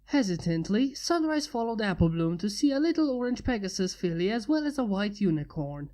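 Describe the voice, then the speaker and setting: A woman narrates a story in an even, steady reading voice. Her tone is mellow and warm, at an ordinary pitch. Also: a little fast. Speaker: fanfiction reader.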